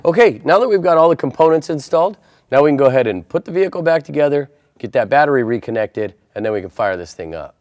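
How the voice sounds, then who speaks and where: A man's voice: on the high side, animated, fast talker, a little mellow, no room sound, studio quality. A man presenting an installation tutorial, talking through each step in a plain, methodical, procedural way. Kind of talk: step-by-step instructional narration.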